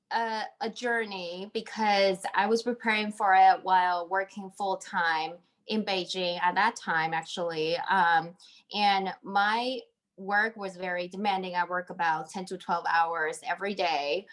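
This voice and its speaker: A woman's voice: medium pitch, measured pace, a bit bright. A woman in her thirties from Taiwan, giving conversational advice as an interview guest on a video call. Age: 30s. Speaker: interview guest, MBA graduate. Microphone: video call microphone.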